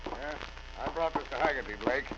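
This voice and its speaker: An actor playing a stern, probing police captain with an authoritative manner, in 1940s radio drama style. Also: male voice, mid-range voice, a little fast, lightly acted. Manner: authoritative, questioning. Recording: muffled, faintly noisy vintage radio recording.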